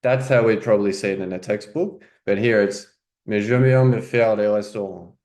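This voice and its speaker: A man's voice: fairly low pitch, moderate pace, a little mellow. A man coaching French, explaining informally and checking in with "right?" and "okay?". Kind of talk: casual teaching commentary.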